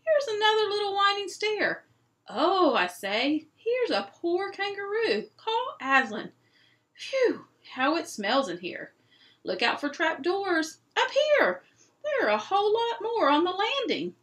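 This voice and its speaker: A woman voicing excited storybook rescuers, exclaiming and calling out in a bustle. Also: extremely high pitch, unhurried, pitched above the performer's own voice, full character voice.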